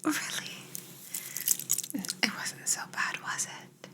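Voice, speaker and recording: A woman playing a polite, soothing female alien abductor in a whispered ASMR roleplay, gently reassuring and clinical in manner. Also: slow, natural voice. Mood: reassuring, apologetic. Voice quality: breathy unvoiced whisper.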